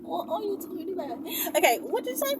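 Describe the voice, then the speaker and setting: A woman's voice: very high-pitched, very animated, a little fast. Woman, casual Q&A host, blunt sassy delivery, faint noise and room ambience behind. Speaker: host answering viewer questions. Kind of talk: casual question-and-answer chat.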